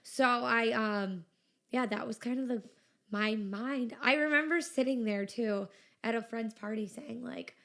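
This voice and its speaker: A woman's voice: a little high, unhurried, creaky, fairly bright tone. A woman in her twenties, a business owner, chatting casually as a podcast guest and filling her talk with "like" and "you know". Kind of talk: casual interview chat.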